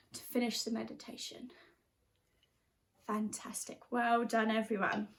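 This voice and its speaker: A woman with an expressive, chatty and encouraging way of talking, leading and reading aloud for young children as their teacher. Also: a little high. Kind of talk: animated storybook read-aloud and guided exercise.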